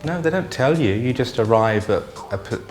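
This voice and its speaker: A man recalling his experiences in an interview in a plain, halting way. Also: mid-range voice, animated, darkish tone, faint music behind. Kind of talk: interview testimony.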